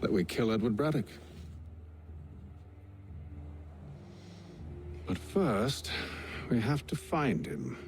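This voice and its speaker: An actor playing a composed, wry, educated English gentleman adventurer in a video game, with a British accent and a formal, dry manner. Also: medium pitch, lively intonation, a little slow, slight background noise, close to an everyday voice. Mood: earnest, firm. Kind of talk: video game cutscene acting.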